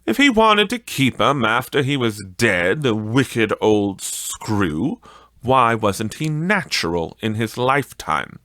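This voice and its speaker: A man's voice: medium pitch, sing-song, takes it slowly, pushed and bright, no echo, clean studio sound, exaggerated voice. A man voicing a brazen, grasping laundress woman in an audiobook story, with emphatic, sneering, colloquial speech. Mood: scornful, defiant.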